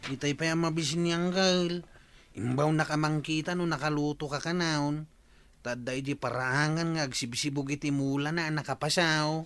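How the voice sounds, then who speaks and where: An actor playing an impatient, cheeky young nephew in a Filipino radio drama. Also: male voice, fairly high pitch, steady tone, normal speed, a bit bright, clean tone.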